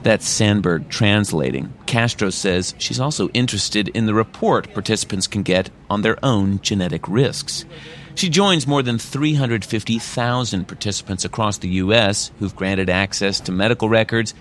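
A male radio reporter with a lowish voice reads his scripted news piece. His delivery is measured and even. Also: slight background noise.

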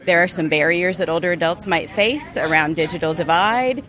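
Calm, lowish-voiced woman. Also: normal speed, soft background music. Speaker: nonprofit representative. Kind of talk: interview clip.

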